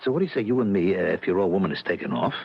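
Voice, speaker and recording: A man's voice: sits low, very animated, rapid-fire, creaky, slightly rough, full character voice. An actor in a radio drama playing a brash, streetwise working guy who needles and coaxes in slang. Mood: coaxing. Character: brash streetwise small-time working guy, coaxing his buddy. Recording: dull lo-fi old radio recording.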